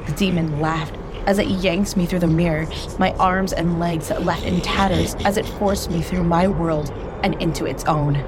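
A woman's voice: lowish voice, steady tone, moderate pace, hardly any pauses, background noise. A woman narrating a first-person horror story in a tense, intimate way.

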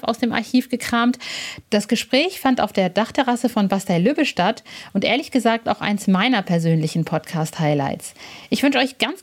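A woman hosting a podcast, chatting in a lively, enthusiastic way with fillers. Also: fairly high pitch. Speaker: podcast host. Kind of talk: casual podcast chat. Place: rooftop terrace outdoors, construction noise nearby.

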